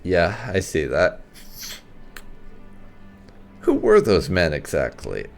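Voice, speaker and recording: A man's voice: low-pitched, huge pitch swings, slow pace, gravelly, soft background music. A man giving roleplay playthrough commentary on a video game, musing with wry understatement. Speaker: gaming channel host.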